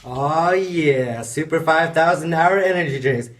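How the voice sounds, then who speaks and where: A man's voice: fairly high pitch, unhurried, lightly acted. A man playing a casual teenage buddy who goads his friend on, in an amateur audio drama. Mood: egging on.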